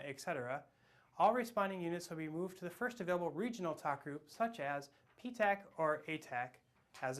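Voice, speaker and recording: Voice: mid-range voice, a bit bright. American trainer, mid-range voice, reading scripted procedural instruction.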